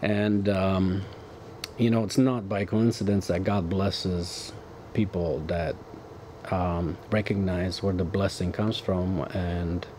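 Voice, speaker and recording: Guest, 50s man, Romanian accent, earnest explainer, low dark voice. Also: fairly even intonation, slow, a little hiss or hum. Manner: earnest, explanatory, many fillers. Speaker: guest, author's son. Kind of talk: studio interview. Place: dark TV studio set, indoors.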